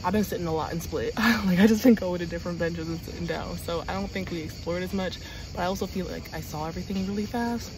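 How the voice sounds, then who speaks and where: A woman's voice: lowish voice, fast talker, rough recording. A young woman, chatting casually to her phone as a travel vlogger, rambling and laughing between words. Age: young adult. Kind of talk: casual vlog chat to camera. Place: outdoors on city streets and a bench, also indoors in a hostel. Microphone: phone held at arm's length. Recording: outdoor street noise behind.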